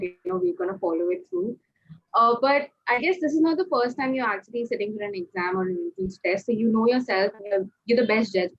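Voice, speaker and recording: Husky young Indian woman, dull audio. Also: mid-range voice. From Kolkata, India. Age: 20s. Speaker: student panelist giving advice. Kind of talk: conversational advice. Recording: slightly dull lo-fi call audio.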